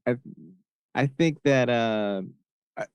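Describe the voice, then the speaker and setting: A man in his thirties from Honduras telling his story casually as a podcast guest, often pausing to search for words. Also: medium pitch, darkish tone, clean tone, no echo.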